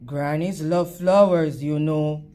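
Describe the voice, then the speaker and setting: A woman plays a big storybook wolf with courtly, coaxing manners. He speaks in a lowered register and sweet-talks slyly, taking his time. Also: steady tone, takes it slowly, mellow. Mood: sly, courteous. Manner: polite, smooth-talking. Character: charming, sly, sweet-talking large male wolf.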